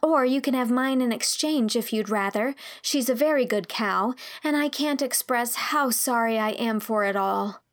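A woman's voice: a little high, normal speed, hardly any pauses, close to an everyday voice. A woman voicing an earnest, impulsive sixteen-year-old girl in an audiobook, speaking politely and confessionally. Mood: mournful, apologetic. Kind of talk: audiobook character dialogue.

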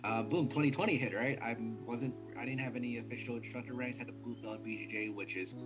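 Young male guest from New York, mid pitch, quick run-on talker, blunt, faint music behind. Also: poor audio. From New York City. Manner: fast, run-on talk with restarts and laughs, blunt swearing. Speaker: interview guest, martial arts instructor. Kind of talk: casual interview.

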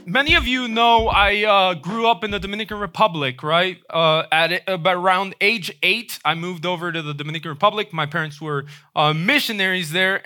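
A man preaching a sermon in a conversational way, often checking in with a quick "right?". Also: high voice, bright, forceful tone. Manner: conversational, frequent "right?" tag questions.

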